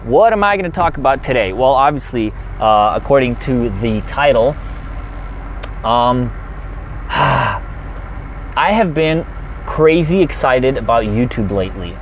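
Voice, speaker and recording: Upbeat truck-driving man hosting a casual live chat, mid pitch, faint road noise. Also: low-quality recording. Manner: energetic, chatty, fast-talking. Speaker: truck driver livestreaming. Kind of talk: casual livestream chat reading viewer comments.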